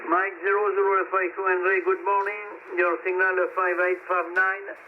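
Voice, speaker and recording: A man checking into an amateur radio net, speaking in a relaxed, hesitant way and searching for words. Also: sits high, normal speed. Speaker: ham radio operator checking in. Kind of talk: ham radio net chat. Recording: tinny shortwave radio with static.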